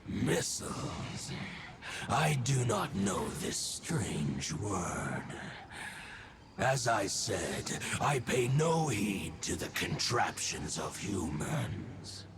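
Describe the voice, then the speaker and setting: Game creature role: proud old wolf deity, deep, extremely unhurried, aloof and grave. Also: male voice, big pitch swings, exaggerated voice. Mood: disdainful. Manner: solemn, haughty, archaic phrasing. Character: ancient proud male wolf god, aloof and scornful of humans. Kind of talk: dramatic game voice acting. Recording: loud music under the voice.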